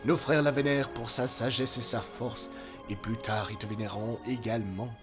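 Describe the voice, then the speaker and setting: A man playing a tender, solemn fatherly ruler in an amateur animated film dub, speaking in a measured way. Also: mid-range voice, over music, natural voice. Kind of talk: fan-dubbed animated film acting.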